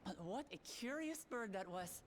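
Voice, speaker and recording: A woman voicing an earnest, excitable young warrior princess in a video game, forthright and emphatic in her speech. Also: mid-range voice, a little slow, slight background noise, lightly acted. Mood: spirited. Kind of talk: video game cutscene acting.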